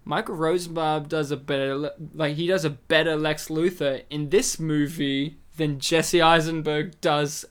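A man's voice: on the high side, fairly even intonation, a little slow, few pauses, fairly bright tone, clear, resonant tone. A man co-hosting a casual film commentary podcast, rambling and joking between his points. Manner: jokey, rambling, slips into silly impressions. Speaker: podcast co-host.

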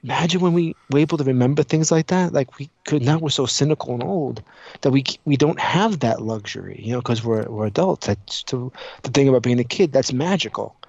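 A man chatting casually on a podcast, telling stories in an animated way, acting out dialogue and swearing freely. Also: mid-range voice, brisk, gravelly, no room sound, dull audio. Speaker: podcast co-host. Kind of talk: casual chat, animated storytelling.

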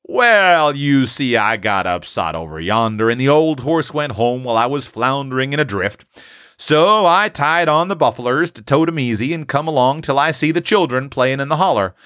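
Young rural American giant, folksy teasing prankster, pitched up and swinging; male reader acting, storybook. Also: expressive, normal speed, fairly bright tone, clear, resonant tone, no room sound, studio quality, close to an everyday voice. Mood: amused, boastful. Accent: rural American dialect.

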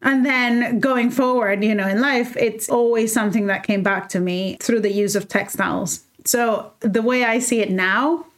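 A woman's voice: on the high side, steady tone, moderate pace, few pauses. A woman, speaking as a guest in a reflective conversation, earnest and heartfelt, often restarting her sentences. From El Salvador. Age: 30s. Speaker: guest, fashion designer.

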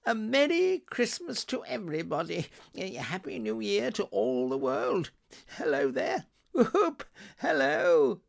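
A man's voice: high-pitched, wildly swooping pitch, unhurried, vocal fry, fairly bright tone, voice pushed up, full character voice. A man voicing a giddy, delighted old reformed miser in a storybook reading, effusive and eager. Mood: jubilant.

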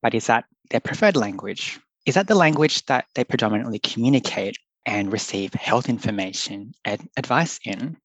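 An earnest young man talks through his ideas with loose, thoughtful phrasing and the odd laugh. His voice sits at a middling pitch. The recording is dry and close, with no room sound. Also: expressive, moderate pace, few pauses, dry recording, poor audio. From Australia. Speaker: public health doctor, guest. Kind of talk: conversational podcast interview.

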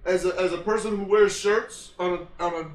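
A man of Dominican heritage co-hosting a podcast in loud, swearing, laughing banter. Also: high-pitched, fairly even intonation, a little fast, roomy sound, low-quality recording. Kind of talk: casual chat.

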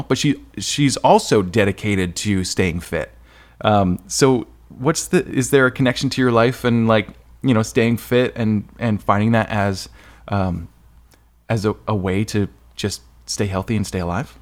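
Male podcast host, lowish pitch, informal, conversational, slightly hesitant, thinking aloud. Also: unhurried. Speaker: podcast host interviewing an author. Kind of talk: casual interview chat.

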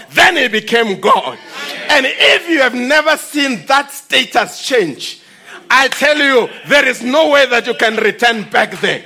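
High-pitched, fervent male preacher. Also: pushed and bright. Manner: emphatic short phrases with repeated exclamations. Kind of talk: fervent, declamatory sermon.

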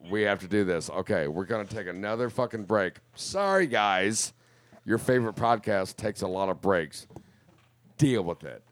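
A man hosting a casual studio podcast, with loose, profane, laughing banter and a voice going slightly raspy. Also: fairly low pitch, moderate pace. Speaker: podcast host.

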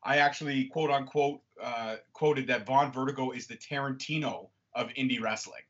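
A man's voice: mid-range voice, steady tone, normal speed, fairly bright tone. A young man hosting a wrestling podcast, chatting casually and enthusiastically with a guest and swearing freely. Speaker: podcast host. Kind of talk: casual interview chat. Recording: slightly dull lo-fi audio.

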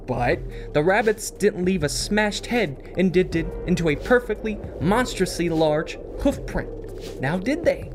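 Man acting as driven, hot-tempered detective, swinging pitch. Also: animated, measured pace. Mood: firm, businesslike. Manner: terse, confrontational. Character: driven, hot-tempered male police detective with a troubled past. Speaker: voice actor. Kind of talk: audio drama acting. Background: music.

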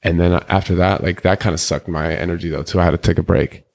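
A young man with a deep voice, talking loosely in slang as an interview guest, rambling through candid stories. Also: fairly even intonation, quick pace, gravelly, darkish tone. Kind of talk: casual candid interview talk.

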